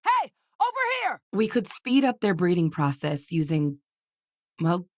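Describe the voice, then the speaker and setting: An actress voicing a capable, guarded military scientist in a video game, speaking in a measured, earnest, matter-of-fact way. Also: female voice, fairly low pitch, expressive, a little mellow, no room sound, clean studio sound. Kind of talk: video game character voice lines.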